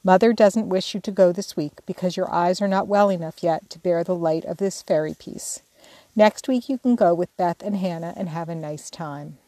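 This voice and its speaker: A woman's voice: on the low side, moderate pace, warm tone, no echo, close to an everyday voice. A woman voicing a patient, motherly young eldest sister in a storybook, soothing in manner.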